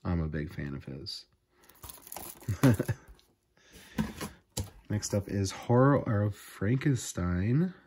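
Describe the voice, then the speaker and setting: A man's voice: fairly low pitch, very animated, very slow, pauses often, darkish tone, slight background noise. A man hosting a casual unboxing vlog, enthusiastic and full of small fillers as he goes through his purchases. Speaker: video host. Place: indoors at a table, off camera.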